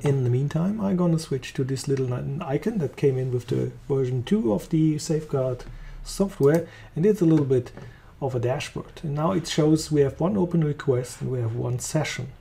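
German-accented man, gravelly and mellow. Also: on the high side, normal speed, few pauses. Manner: relaxed, thinking aloud while clicking. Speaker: software trainer. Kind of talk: screen-recorded software demo.

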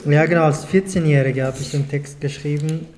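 A man in his twenties from Afghanistan, a native Dari speaker, reading his own text aloud in a calm, measured way at a panel table in a small club room. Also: on the high side, a crowd in the background. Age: 20s. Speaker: guest author. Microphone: table mic on a short stand.

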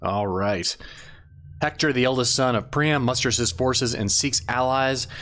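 A man's voice: medium pitch, unhurried, a bit bright. A man hosting a gaming channel, giving casual commentary and explaining a strategy game step by step, unsure of how to say some names.

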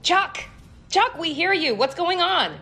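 A woman's voice: very high voice, big pitch swings, takes it slowly, pushed and bright, faint music behind, heavily acted. An actress playing a serious, concerned female spy agent in a script read, speaking with businesslike urgency. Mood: urgent concern. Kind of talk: table read acting.

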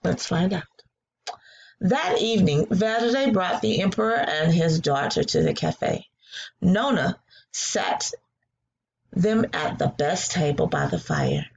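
A woman's voice: on the low side, takes it slowly, gravelly, highs cut off. A woman teaching a read-aloud lesson from her living room on a laptop webcam, encouraging and praising her students as she goes. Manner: animated and encouraging, praising answers. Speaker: teacher reading aloud to a class. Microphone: laptop webcam at close range.

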